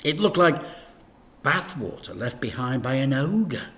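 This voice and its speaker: A man reading a children's story aloud in an expressive, teacherly narration. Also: mid-range voice, measured pace.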